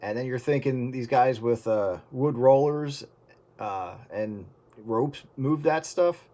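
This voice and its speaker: A man's voice: mid-range voice, slow, very noisy. A man chatting casually and musingly with friends over CB radio. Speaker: participant in CB radio chat.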